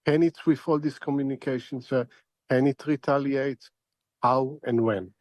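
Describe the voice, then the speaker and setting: A middle-aged man giving expert analysis as an interview guest, speaking in a halting, deliberate way and repeating words as he thinks. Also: mid-range voice, fairly even intonation, no echo. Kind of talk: spoken analysis in a news interview.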